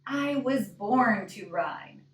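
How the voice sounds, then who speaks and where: A woman voicing a plucky, determined girl from a picture book with bold, drawn-out declarations. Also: on the high side, expressive, a little hiss or hum. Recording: echoey room, distant microphone.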